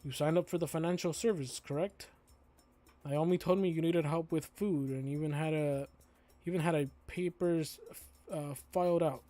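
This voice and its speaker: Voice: mid-range voice, fairly even intonation, normal speed, warm tone, no room sound, soft background music, natural voice. A streamer voicing a stern but supportive male dinosaur school principal in a game, formal and authoritative. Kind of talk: visual novel character voice read aloud.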